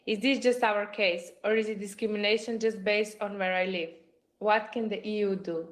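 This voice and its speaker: A woman's voice: medium pitch, fairly even intonation, normal speed. Female office assistant, careful scripted read with slight stumbles, very echoey room. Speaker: parliamentary assistant. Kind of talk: reading citizens' messages aloud in a video.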